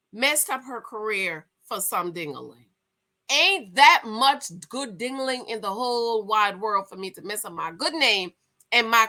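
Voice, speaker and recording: A woman in her forties hosts her own commentary vlog in a sassy, gossipy way and calls her viewers "girl" and "y'all." Her voice is fairly high and very bright and forceful. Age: 40s. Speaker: commentary vlogger.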